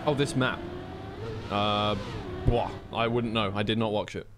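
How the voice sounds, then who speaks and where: A man's voice: lowish voice, steady tone, a little slow. A man giving live commentary on a racing game in an informal, slightly flustered way, thinking aloud between calls. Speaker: esports commentator and streamer. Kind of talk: live racing game commentary mixed with casual stream chat. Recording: clear noise, game car engines behind.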